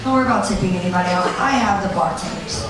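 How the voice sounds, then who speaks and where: A woman's voice: mid-range voice, very fast, a crowd in the background. A woman giving an informal seminar talk, blunt and conversational, telling anecdotes and swearing freely. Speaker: seminar speaker. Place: seminar room with an audience. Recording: very echoey room, distant mic, audience noise.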